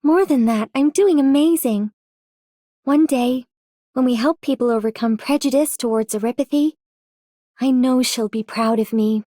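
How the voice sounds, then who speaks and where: A sweet, hopeful girl from a video game, voiced by a woman. Her voice is highish and soft-toned, earnest and upbeat, recorded close and dry. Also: normal speed, no room sound, close to an everyday voice. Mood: hopeful, proud. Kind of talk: video game character voice acting.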